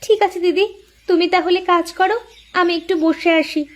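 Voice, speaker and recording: A woman's voice: very high voice, steady tone, normal speed, a little hiss or hum. A woman voicing a devoted, eager younger co-wife in a village cartoon, with a pleading, girlish, deferential manner. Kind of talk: cartoon voice acting.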